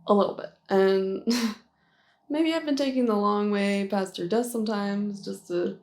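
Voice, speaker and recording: A woman's voice: medium pitch, darkish tone, lightly acted. A woman playing a shy, flustered young office worker confessing a crush in a nervous, rambling, giggly ASMR roleplay. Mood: shyly confessing. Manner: nervous, halting, rambling, giggly, self-deprecating. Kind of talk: intimate ASMR roleplay monologue.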